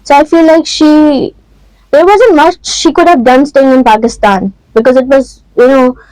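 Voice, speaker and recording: A woman's voice: high voice, normal speed, a little mellow, dry recording, low-quality recording. A woman discussing a novel on a podcast, thinking aloud with frequent hesitations. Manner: thoughtful, hesitant with many ums. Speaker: podcast guest. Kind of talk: casual book discussion.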